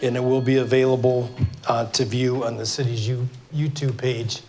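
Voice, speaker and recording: A man's voice: mid-range voice, level tone, moderate pace, a little mellow, faint music behind. A middle-aged man with a Michigan accent and a scratchy voice from a cold, running a town hall meeting in a loose, filler-heavy way. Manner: informal, many fillers and restarts. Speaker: mayor moderating a town hall. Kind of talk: public meeting address. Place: city hall meeting room. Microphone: microphone for televised recording.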